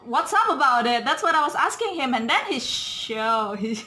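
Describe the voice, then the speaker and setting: A chatty woman from Bali in a casual podcast conversation, her voice bright and a little higher than average. She talks with loose grammar and laughs easily. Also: big pitch swings, normal speed. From Bali, Indonesia. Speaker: podcast co-host.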